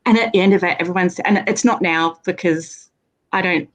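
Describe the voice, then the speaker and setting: A woman's voice: on the low side, a little fast. Chatty Australian woman. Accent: Australian, with some American. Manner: chatty, rambling, with many restarts and fillers. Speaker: guest on a livestream. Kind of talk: casual chat.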